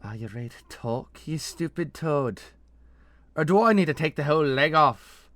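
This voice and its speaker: A man voicing a ruthless, sharp-tongued mercenary woman who mocks and menaces, with a put-on accent, in a fantasy story. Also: fairly high pitch, animated, moderate pace, voice pushed up. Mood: menacing. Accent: put-on foreign fantasy accent.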